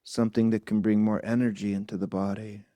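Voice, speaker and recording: A man leading a guided meditation as a teacher, speaking softly and gently in short phrases with long, unhurried pauses. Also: fairly low pitch, little pitch movement, no echo.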